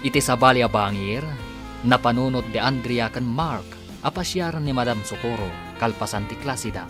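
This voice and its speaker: A man working as a radio drama narrator and announcer, reading scripted narration in a measured, dramatic way. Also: medium pitch. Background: clear background music.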